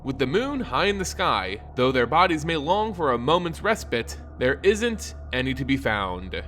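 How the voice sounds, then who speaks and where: A man giving a dramatic, scripted narration of a dark fantasy story in a solemn, theatrical way with deliberate phrasing. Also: medium pitch, sing-song, slight background noise.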